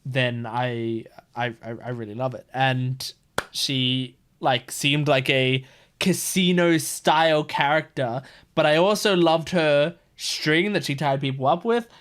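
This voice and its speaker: A man's voice: mid-range voice, fairly even intonation, slow pace, a bit bright. A man hosting a reaction video, giving casual, gushing and enthusiastic commentary.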